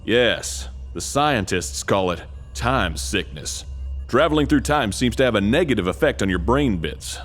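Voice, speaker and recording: An actor playing an earnest, dim-witted military commander in a comic video game, briefing with solemn seriousness. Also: male voice, lowish voice, expressive, measured pace. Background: game music.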